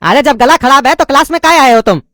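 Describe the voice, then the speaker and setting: A woman's voice: high voice, animated, quick pace, a bit bright, no room sound, clean studio sound. A woman voicing an impatient male teacher who scolds and mocks his students, with a lilt. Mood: scolding. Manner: scolding, sarcastic.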